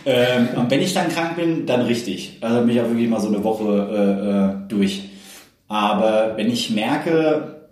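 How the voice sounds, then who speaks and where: A man's voice: medium pitch, a little slow, a little mellow, far from the mic. A man co-hosting a casual chat, joking around and fumbling for words. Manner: jokey, searches for words. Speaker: podcast co-host. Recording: very echoey room, faint hiss.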